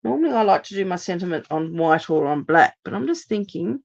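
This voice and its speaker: Woman, from Australia, hoarse, gravelly, lowish voice, chatty craft tutorial, dull lo-fi audio. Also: brisk. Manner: chatty, steady step-by-step instructions. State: hoarse after laryngitis, coughing. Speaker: card-making host. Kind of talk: live craft demonstration.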